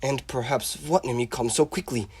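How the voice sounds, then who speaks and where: Man playing a stern mid-pitched visiting professor. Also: moderate pace, a little mellow, husky, no room sound. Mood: stern, probing. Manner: formal, stern, probing. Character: brisk, grave visiting Dutch professor investigating illness. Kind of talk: stage radio drama acting.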